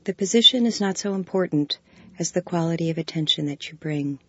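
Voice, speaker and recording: A woman guiding a body scan meditation, giving slow, soft, calm instructions. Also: lowish voice, husky, no room sound, highs cut off. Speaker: meditation guide.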